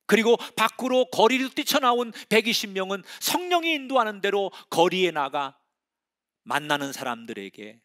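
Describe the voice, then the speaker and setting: A middle-aged man preaches in a high voice with a very lively, sweeping intonation. He tells the story dramatically, dropping to hushed whispers and then rising to loud exclamations. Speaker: pastor. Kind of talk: sermon.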